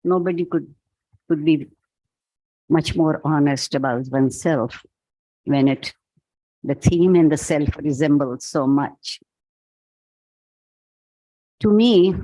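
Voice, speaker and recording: A man with a Pakistani accent delivers a philosophical keynote at an unhurried, deliberate pace, pausing and restarting as he thinks aloud. His voice is soft and mellow. The sound is close and dry, with no room echo. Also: a little high. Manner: slow, measured, philosophical, halting. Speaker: keynote speaker. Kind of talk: reflective keynote talk. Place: event hall.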